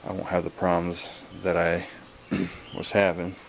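A man giving a casual, laid-back vlog update in a halting, plain-spoken way, recorded on a handheld camera in a garage. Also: sits low, completely flat delivery, creaky, slight background noise. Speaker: wood-splitting video blogger. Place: garage, open to outdoors. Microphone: handheld camera's built-in mic.

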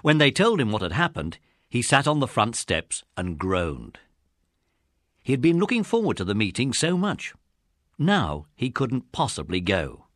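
A man's voice: mid-range voice, wildly swooping pitch, a little slow, fairly bright tone, dry recording, clean studio sound. A British man narrating a scripted children's story as the narrator of an audiobook.

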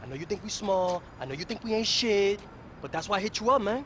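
A young male street dope dealer from a video game, speaks in a high-pitched voice. He is envious, sarcastic and foul-mouthed, full of streetwise slang. Faint traffic noise sits behind him. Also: lively intonation, fast talker, a bit bright. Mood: pleading, persuasive. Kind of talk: video game cutscene acting.

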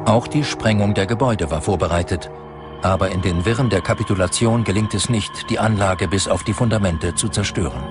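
A man's voice: lowish voice, brisk, over music. A man from reads a scripted documentary narration in a sober, factual way.

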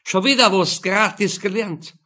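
A man's voice: sits high, a little slow, pushed and bright, pitched above the performer's own voice. A man voicing a brash, mocking middle-aged Viennese prostitute in an audiobook, blunt and teasing. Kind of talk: audiobook character voice.

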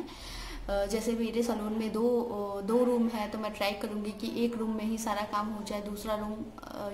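A young woman, a salon owner, talks casually to a close phone camera, pausing often with "uh" fillers and mixing in English words. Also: medium pitch, darkish tone. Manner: hesitant, many "uh" fillers, mixes English words. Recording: echoey room, faint background hiss.

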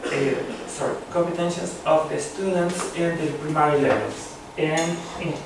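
A man with a Chilean Spanish accent giving a conference talk, halting, with many fillers and restarts. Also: on the high side. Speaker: conference presenter. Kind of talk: academic conference presentation. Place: seminar room with a long table. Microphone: far camera. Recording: echoey room from far off, background noise.

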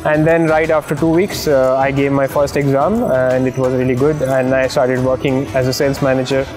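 A young Indian man with an Indian English accent speaking in a documentary interview, relaxed and hesitant with frequent fillers. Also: mid-range voice, normal speed, music in the background. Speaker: sales manager.

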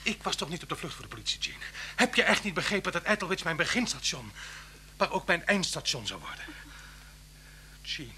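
A gentle but desperate man on the run for murder. His emotional, halting delivery swings widely in pitch over a faintly noisy old recording. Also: very animated, exaggerated voice. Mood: anguished. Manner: halting, repeating words, emotional. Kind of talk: radio drama acting.

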